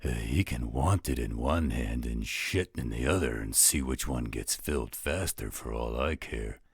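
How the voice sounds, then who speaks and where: A man voicing a gruff, blunt, protective werewolf guardian with a husky voice in an audio roleplay drama. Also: no room sound, studio quality, heavily acted. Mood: defiant, blunt. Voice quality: very low, husky rasp. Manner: slow, blunt, coarse-spoken.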